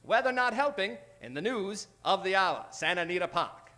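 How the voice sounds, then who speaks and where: A man playing an excitable 1940s newsreel announcer with a breezy, declamatory delivery. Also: high voice, normal speed. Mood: upbeat.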